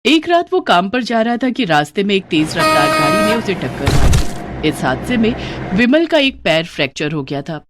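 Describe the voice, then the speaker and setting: Female storyteller, expressive scripted narration, mid-range voice. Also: medium pitch.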